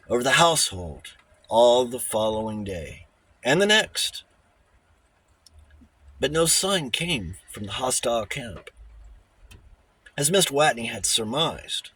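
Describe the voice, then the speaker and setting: A man with a husky voice reading a novel aloud as an audiobook narrator. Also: medium pitch, fairly bright tone, poor audio. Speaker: audiobook reader. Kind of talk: scripted novel narration with character dialogue.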